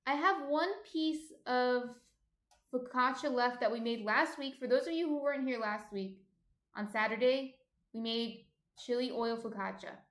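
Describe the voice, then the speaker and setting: A woman's voice: a little high, normal speed, echoey room. A woman with a slight New Jersey accent hosts a baking livestream, reading viewer comments aloud and chatting as she cooks. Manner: chatty, thinks aloud while cooking.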